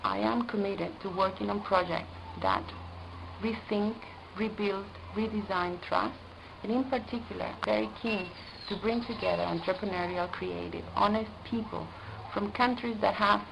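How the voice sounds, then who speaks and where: A woman from Costa Rica, an environmental specialist, speaking in an earnest, deliberate way and stressing key words. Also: medium pitch, creaky, background noise. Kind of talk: prepared video statement.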